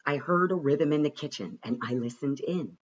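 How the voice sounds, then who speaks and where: A man reading a rhyming children's storybook aloud with a rhythmic, expressive and deliberate delivery. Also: on the high side, highs cut off. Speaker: reader of a children's picture book.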